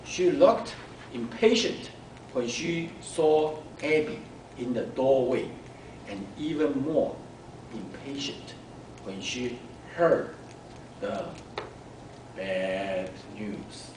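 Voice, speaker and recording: A man reading a children's story aloud in a deliberate, expressive way, pausing between phrases. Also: medium pitch. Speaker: storyteller at a speaking club. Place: club meeting room. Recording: echoey room, distant mic, background noise.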